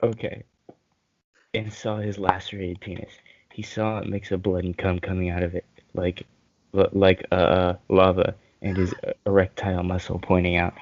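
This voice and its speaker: A man's voice: low voice, level tone, normal speed, slight background noise. A young man casually reading a story aloud among friends, hesitant and given to giggling. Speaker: co-host reading fan fiction aloud. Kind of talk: casual group chat and amateur story reading. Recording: dull lo-fi voice chat audio.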